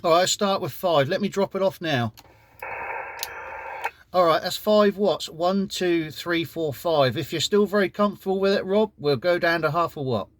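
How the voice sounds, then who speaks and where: A man's voice: sits high, moderate pace, background noise. A man with an English accent chatting courteously as an amateur radio operator during a casual contact. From United Kingdom.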